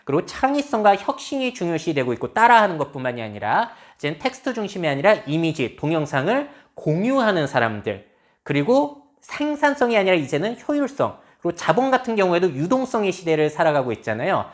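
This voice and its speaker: 굴곡 많은 말투의 여성 강연자. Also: female voice, on the low side, big pitch swings, brisk. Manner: explanatory, asks the audience rhetorical questions. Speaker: lecturer. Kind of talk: lecture on future trends.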